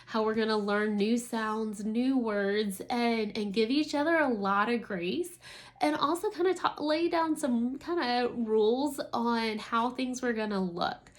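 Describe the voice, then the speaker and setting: A woman's voice: a little high, a little slow. A woman, a homeschooling mother, giving an enthusiastic, casual product review in a talking-to-camera style.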